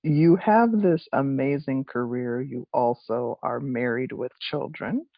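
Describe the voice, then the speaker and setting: A man hosting a podcast, asking measured, thoughtful interview questions. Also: medium pitch, no room sound. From USA. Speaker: podcast host. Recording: dry, dull lo-fi recording.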